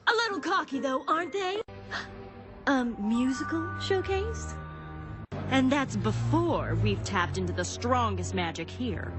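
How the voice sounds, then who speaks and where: High, haughty scheming villainess. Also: female voice.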